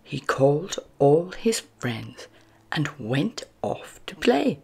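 A woman reading a children's storybook aloud as narrator, expressive, moving between hushed phrases and emphatic words. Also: low voice, slow, gravelly, slightly rough. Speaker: storybook narrator and channel host.

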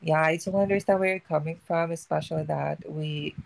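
A woman who works in chat support, speaking softly and politely in a calming customer-service manner as a guest on a video call. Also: low voice, a little fast, gravelly. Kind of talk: casual live stream chat.

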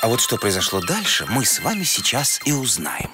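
Man as lively, kindly cartoon storyteller. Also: medium pitch, a little fast, over loud music, close to an everyday voice. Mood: inviting. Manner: expressive storytelling. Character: kindly male storyteller narrator. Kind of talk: children's cartoon scripted narration.

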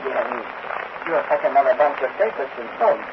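A man playing a calm, sardonic radio character. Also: mid-range voice, a little fast, dull audio, crowd noise, natural voice. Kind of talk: 1940s radio acting. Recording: scratchy archival radio with hiss.

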